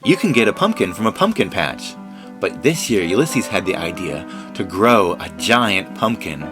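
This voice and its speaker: Male narrator for a kids' puppet show, animated intonation, friendly explainer, music under him. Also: lowish voice, moderate pace. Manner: friendly explaining, asks a child questions.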